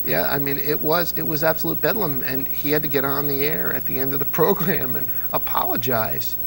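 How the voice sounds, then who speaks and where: A man's voice: fairly high pitch, fairly even intonation, fairly quick, vocal fry, slight background noise, poor audio. A man in his thirties chatting with enthusiasm and telling anecdotes as an interview guest. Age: 30s. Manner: enthusiastic, chuckles while talking, acts out quotes. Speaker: interview guest, old-time radio enthusiast.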